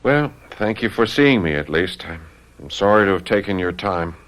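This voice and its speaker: A man's voice: sits low, lively intonation, moderate pace, fairly bright tone. An actor playing a timid, earnest young French policeman in a radio mystery, stammering and deferential. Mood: subdued, deferential. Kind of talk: radio mystery drama acting.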